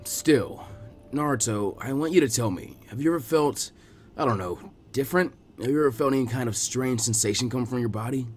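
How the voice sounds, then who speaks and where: A man voicing a gruff older ninja sage in a story reading, a blunt mentor who explains weightily and haltingly. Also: medium pitch, soft background music, natural voice. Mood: serious. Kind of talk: character voice in fan fiction reading.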